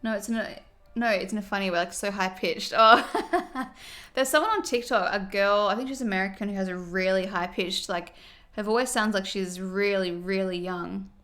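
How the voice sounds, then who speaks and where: A woman's voice: medium pitch, normal speed, fairly bright tone. A woman streaming casually while doing her makeup, reading viewer comments aloud and reacting to them in a chatty, friendly way.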